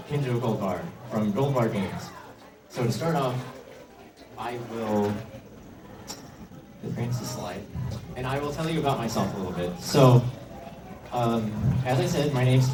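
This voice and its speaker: A man giving an informal, slightly hesitant slide presentation to an audience as a panel speaker. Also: medium pitch. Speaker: convention panel presenter, indie game developer. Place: indoor convention panel room. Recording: echoey room, distant mic, heavy noise.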